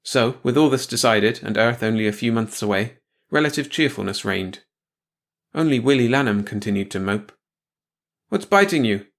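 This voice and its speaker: A man's voice: on the low side, normal speed, vocal fry. A man reading a short story aloud as scripted audiobook narration.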